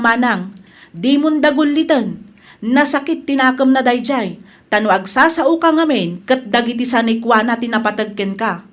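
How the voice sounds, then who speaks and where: Radio drama younger daughter, woman performer: slightly high voice, Ilocano accent, protective, earnest, gently firm. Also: natural voice.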